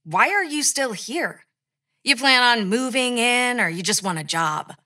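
A woman's voice: fairly high pitch, expressive, unhurried, bright, forceful tone, no room sound, studio quality. A young woman playing a stern, irritated night security guard in a horror video game, exasperated and sometimes sing-song when coaxing. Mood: condescending.

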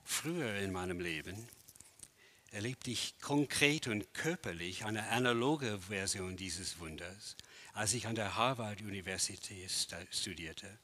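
Slow, bright-toned declaiming man in his 60s. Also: lowish voice. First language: English. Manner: measured, declamatory delivery with dramatic swells and hushed drops. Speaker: lecturer and performer. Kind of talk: theatrical lecture performance. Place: large empty art gallery hall, indoors.

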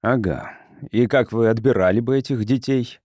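A man's voice: mid-range voice, normal speed, darkish tone, no echo, clean studio sound, close to an everyday voice. A man voicing an earnest, insistent male sociologist from Earth in an audiobook. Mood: challenging. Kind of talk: audiobook character dialogue.

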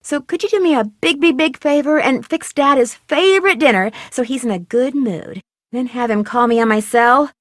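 A woman's voice: high-pitched, normal speed, no room sound, clean studio sound, lightly acted. An actress voicing a curious teenage girl detective, delivering upbeat, playful quips in video game voice lines. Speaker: game protagonist.

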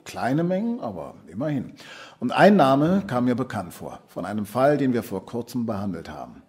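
A man's voice: mid-range voice, big pitch swings, moderate pace, a little mellow, breathy edge. An actor playing a matter-of-fact male police colleague reporting in an audio drama.

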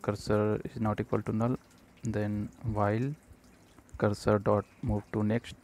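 A man presenting a step-by-step programming tutorial, speaking haltingly in short fragments. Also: lowish voice, monotone, darkish tone. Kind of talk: step-by-step screencast tutorial narration.

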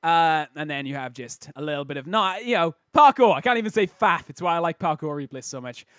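A man with a slightly croaky voice hosting casual, jokey video game commentary in a self-interrupting way. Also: a little high, lively intonation, brisk, a bit bright, no echo, clean studio sound. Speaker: host of a game replay showcase.

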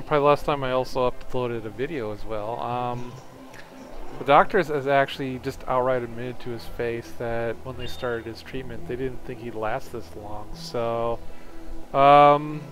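A man giving casual, rambling let's play commentary, often breaking off mid-thought, with a slightly hoarse voice. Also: mid-range voice. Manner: halting, rambling, self-interrupting. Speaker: video game commentator. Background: video game music.

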